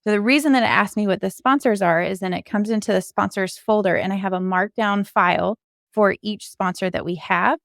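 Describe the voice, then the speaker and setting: A woman's voice: mid-range voice, brisk, vocal fry, no room sound, clean studio sound. A woman giving an informal screen-share coding walkthrough, explaining things step by step as a podcast co-host.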